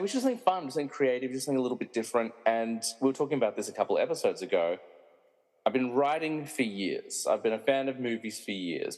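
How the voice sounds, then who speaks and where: A man hosting in a casual, chatty way with jokey, self-deprecating asides. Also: fairly low pitch. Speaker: podcast host. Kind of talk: casual chat. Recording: long echoing room reverb.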